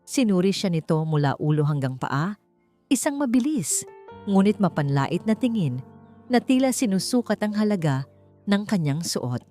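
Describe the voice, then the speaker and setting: A woman with a Filipino accent narrating a scripted dramatic story in an expressive, measured storytelling manner. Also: mid-range voice. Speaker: audiobook narrator. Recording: music behind the voice. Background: background music.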